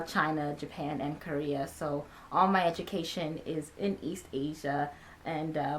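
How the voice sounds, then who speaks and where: A woman in her twenties, born in Ghana and raised in America, answering viewer questions in a casual, chatty talking video with many ums and uhs. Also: fairly low pitch. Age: 20s. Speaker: vlogger.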